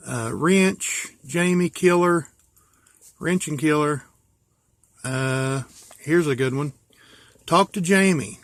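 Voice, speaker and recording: An American man from West Virginia talking casually and informally as he shows things, pausing to think aloud. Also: fairly high pitch, fairly bright tone, no room sound. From West Virginia, USA. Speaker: hobbyist vlogger. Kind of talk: casual show-and-tell.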